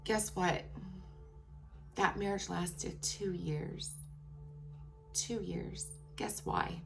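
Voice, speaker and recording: A woman telling a personal story to her viewers. She speaks frankly and emphatically and keeps checking in with "okay?". Her voice is turning raspy after a long workday. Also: lowish voice, drawn-out words, a bit bright, soft background music.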